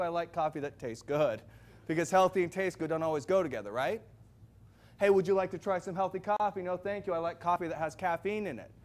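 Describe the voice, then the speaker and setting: A man giving a loud, projecting sales presentation to a live audience, firing questions at the crowd. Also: high voice. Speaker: product presenter.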